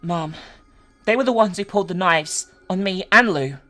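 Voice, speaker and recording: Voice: medium pitch, moderate pace, sharp tone, soft background music, close to an everyday voice. A performer voicing a guilt-ridden teenage boy, earnest and pleading, in a dramatic story reading. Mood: confessing.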